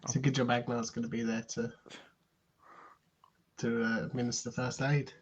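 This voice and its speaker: A man joining a game stream, making dry jokes with trailing pauses and filler sounds. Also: medium pitch, level tone, slightly rough. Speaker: player. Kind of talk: casual banter. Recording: dull lo-fi mic with faint hiss.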